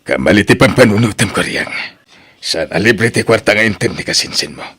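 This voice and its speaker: An actor playing a weary, apologetic older uncle in a radio drama, with a raspy voice and an Ilocano accent. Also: male voice, lowish voice, expressive, normal speed, a bit bright, heavily acted. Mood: weary, pleading. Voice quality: husky, raspy.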